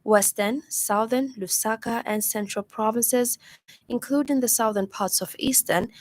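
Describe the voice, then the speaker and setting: A woman with a Zambian accent presents scripted news on radio in a formal, measured manner. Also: on the high side, a little mellow, no echo. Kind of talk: scripted news bulletin reading. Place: radio studio.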